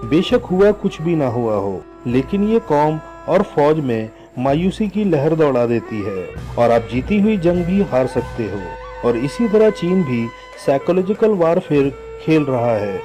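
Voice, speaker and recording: A Pakistani man hosts a news commentary in a fairly high, soft-edged voice. His intonation is very animated and he speaks emphatically to his viewers over a music bed. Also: a little slow, few pauses. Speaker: news channel host.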